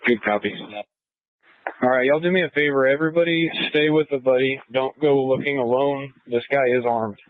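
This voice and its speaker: A man's voice: mid-range voice, steady tone, normal speed, dry recording. A male police officer speaking tersely over police radio. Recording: tinny, narrowband police radio audio.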